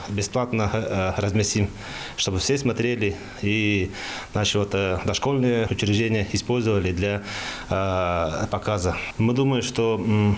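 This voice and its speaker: A young man giving an unscripted interview answer, haltingly searching for words. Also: lowish voice, a little hiss or hum. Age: young adult. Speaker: researcher and project organiser.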